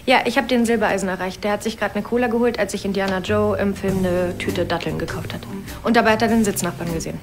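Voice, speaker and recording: An actress playing an eager, helpful police colleague with a chatty, brisk manner in a TV crime comedy. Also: female voice, medium pitch, music in the background, lightly acted.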